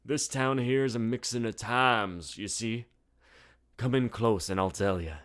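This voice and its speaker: A man's voice: mid-range voice, measured pace, fairly bright tone, clean tone, pitched above the performer's own voice, lightly acted. Man putting on a drawling old Western bartender. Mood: folksy, mysterious. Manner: drawling, folksy, theatrical. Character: folksy old male saloon bartender, boastful storyteller.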